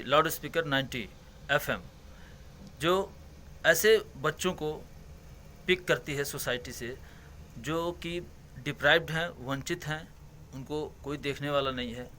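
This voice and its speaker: A man, working as a news reporter, asking formal questions with frequent hesitant pauses. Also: mid-range voice, bright, forceful tone, slight background noise. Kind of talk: news interview.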